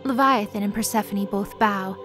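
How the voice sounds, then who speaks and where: A woman's voice: mid-range voice, moderate pace, music in the background. A woman narrating a scripted dramatic story.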